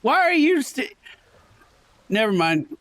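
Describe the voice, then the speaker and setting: A man streaming a game, chatting excitably to his viewers and swearing casually. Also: very high voice, slow pace, no echo. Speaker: gaming streamer. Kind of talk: casual let's play commentary.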